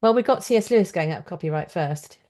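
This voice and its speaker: A woman's voice: on the low side, animated, fast, darkish tone. A middle-aged woman hosting a podcast interview in a conversational style.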